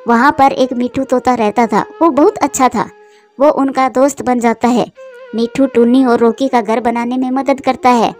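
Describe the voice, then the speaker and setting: High-pitched woman narrating a children's story. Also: steady tone, normal speed, a little mellow, faint music behind.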